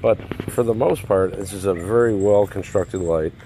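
A man's voice: deep voice, normal speed, noisy background. A man in his thirties giving a casual, practical product review with many fillers and restarts, filming close-ups at home. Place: home, over a glass patio table, then outdoors in a backyard.

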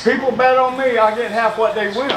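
A man's voice: very high-pitched, steady tone, brisk, echoey room, a crowd in the background, rough recording. An older man in casual banter over a pool game, calling his shots aloud and trading playful comebacks, in a barbershop back room. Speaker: pool player.

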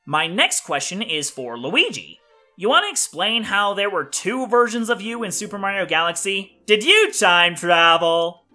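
A man's voice: high voice, sing-song, normal speed, bright, forceful tone, full character voice. A young man voicing a cheeky, pun-loving host of a cartoon question-and-answer show. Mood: chipper, cheeky.

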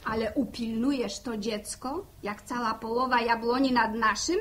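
An actress as a middle-aged farm wife in a vintage radio serial. Her voice sits high, and she is impatient and blunt, pushing into the talk with folksy emphasis. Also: fairly even intonation, moderate pace, a bit bright, slight background noise, natural voice. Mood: explaining. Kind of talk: 1960 radio drama acting.

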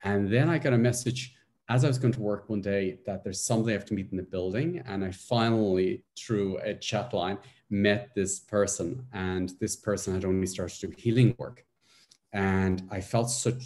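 Dublin Irish man in his forties, very low voice, intense storyteller, video call from home. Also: moderate pace. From Ireland. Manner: intense, animated storyteller with hand gestures. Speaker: guest. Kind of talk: casual chat, personal story. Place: living room at home.

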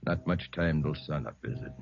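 An actor playing a gruff, hard-bitten police chief in 1950s radio drama, giving orders in a terse, authoritative way. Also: male voice, extremely low pitch, moderate pace, over loud music. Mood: grim. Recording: dull old radio recording.

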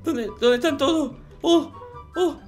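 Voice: high-pitched, measured pace. A performer voicing a naive, boastful, childlike noob boy in an improvised game roleplay, repeating exclamations in childish words. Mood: shocked, distressed. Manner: repetitive, exclamatory, childish diminutives. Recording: music behind the voice.

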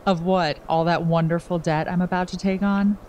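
A woman's voice: on the low side, fairly even intonation, moderate pace, a little mellow, close to an everyday voice. A female actor voices a modest young co-worker in an audio drama. She sounds casual and wryly self-deprecating, over faint background noise. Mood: wry, friendly. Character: modest, wry young female co-worker, aspiring film student. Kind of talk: naturalistic audio drama acting.

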